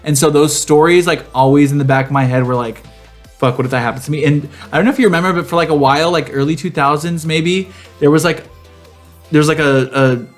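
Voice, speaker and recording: A man's voice: a little high, fast, faint music behind. A man co-hosting a casual comedic podcast with sassy, campy, slangy banter. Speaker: podcast co-host.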